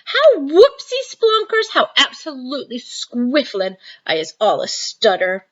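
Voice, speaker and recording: A woman voicing a huge, kindly, very old male giant who mangles his grammar and invents words, speaking slowly. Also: a little high, big pitch swings, fairly bright tone, voice pushed up, heavily acted. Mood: delighted. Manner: jumbled grammar, made-up words, drawn-out delivery. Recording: dull lo-fi sound.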